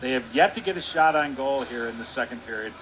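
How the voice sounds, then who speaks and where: A man giving radio color commentary on a hockey game, explaining the play in a measured, conversational way. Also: mid-range voice, fairly bright tone, slight background noise, poor audio. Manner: measured, explanatory, with fillers like "kinda".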